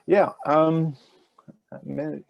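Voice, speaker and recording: An older man with a French accent, answering interview questions in a halting way with many fillers, speaking over a video call with a wired earphone mic. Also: a little high, level tone, gravelly, no room sound, highs cut off. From France. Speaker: ecology professor, interview guest. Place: indoors with a virtual nature backdrop.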